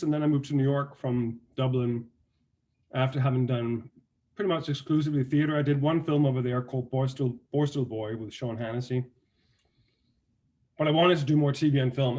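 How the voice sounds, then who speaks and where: A man's voice: medium pitch, a little fast. Danish man chatting about acting. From Denmark. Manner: relaxed storytelling with many restarts and fillers. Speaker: actor and guest. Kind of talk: casual interview talk.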